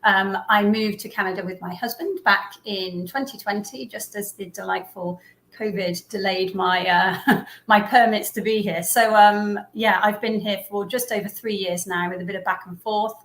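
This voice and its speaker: A woman's voice: medium pitch, steady tone, moderate pace, a bit bright. An English woman talks as an interview guest, thoughtful and friendly, with plenty of ums. Her voice sits in a middle register. The room around her is noticeably echoey. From England, Herefordshire. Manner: thoughtful, friendly, laughs easily, frequent ums. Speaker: interview guest, business owner. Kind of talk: casual interview. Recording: roomy echo.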